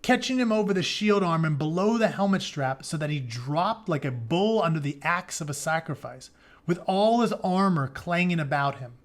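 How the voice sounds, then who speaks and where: Man, high-pitched voice, teacher's read-aloud, dramatic storytelling, casual explanatory asides. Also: animated, moderate pace. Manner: dramatic reading broken by plain informal paraphrase.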